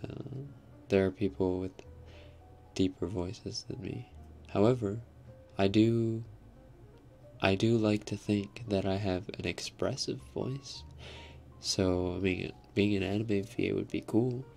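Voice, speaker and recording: A young man hosting an ASMR live stream, answering chat in a soft, half-whispered, slightly raspy voice and laughing often. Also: fairly low pitch, fairly even intonation, slow pace, long pauses, faint music behind. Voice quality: a little raspy.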